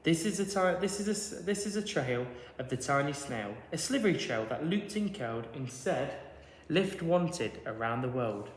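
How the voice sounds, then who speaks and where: Higher-voiced man reading in echoey hall. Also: lively intonation, normal speed, hardly any pauses, slight background noise. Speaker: teacher reading to pupils. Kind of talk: children's storybook read aloud, rhythmic rhyming narration. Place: large reverberant indoor room. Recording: echoey hall with long reverb.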